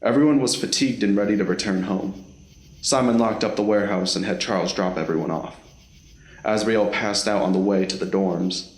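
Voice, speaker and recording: Male narrator for a scripted audio drama, lower register, roomy echoing recording. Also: brisk.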